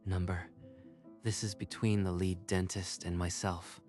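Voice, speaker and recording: A man's voice: deep voice, little pitch movement, a little slow, darkish tone, faint music behind. A man voicing a cold, calculating male company owner who speaks in short, impersonal lines. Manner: clipped, impersonal.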